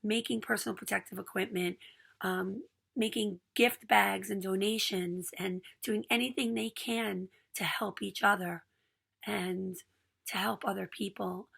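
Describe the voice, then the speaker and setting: A hoarse woman in her forties sharing a heartfelt personal message in a raspy voice, earnest and pausing with frequent ums. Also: medium pitch, steady tone, fairly bright tone. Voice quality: gravelly, raspy voice. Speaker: small business owner.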